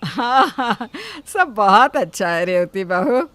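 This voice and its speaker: Older mother-in-law for a family drama, played by a woman: mild, gently scolding, lively intonation. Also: medium pitch, slow pace, dry recording. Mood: placating. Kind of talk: Hindi family moral-story acting.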